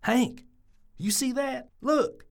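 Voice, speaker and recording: A man's voice: very high-pitched, slow pace, gravelly, over music, full character voice. A man playing an earnest, nosy ballplayer in an audio drama. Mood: earnest, curious. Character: earnest, nosy male ballplayer who reads lips.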